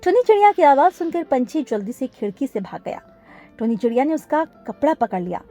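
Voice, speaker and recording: A woman's voice: sits high, very animated, a little fast, warm tone, soft background music. A woman reading scripted narration for a children's cartoon story.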